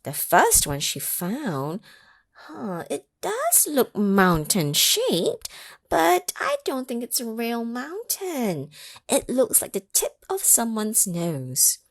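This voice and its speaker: Breathy woman with wildly lively pitch. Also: takes it slowly, dry recording, studio quality. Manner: animated, asks the listening children questions; drops into whispers for effect. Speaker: children's story reader. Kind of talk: read-aloud storytime for children.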